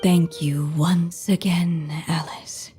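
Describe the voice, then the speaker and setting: A woman voicing a gentle, motherly storyteller in her last moments, speaking softly in a video game drama. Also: fairly low pitch, level tone, slow. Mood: wistful, weakened. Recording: background music over the voice.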